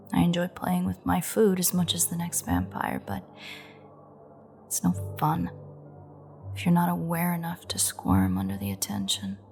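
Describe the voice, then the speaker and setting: A woman acting as a predatory female vampire speaks in a slow, taunting drawl. Her voice sits fairly low and is breathy with a creaky edge, often sinking toward a whisper, in the close, teasing manner of a roleplay audio drama. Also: darkish tone. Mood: wry, wistful. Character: menacing, teasing female vampire gloating over captive hunter.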